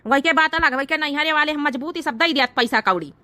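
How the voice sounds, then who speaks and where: A woman puts on an exasperated village husband from a cartoon comedy, high-pitched and sharp in tone. The figure scolds, argues and grumbles in an Awadhi accent, recorded close and dry. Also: quick pace, no room sound. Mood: exasperated, scolding. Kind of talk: exaggerated cartoon comedy dialogue.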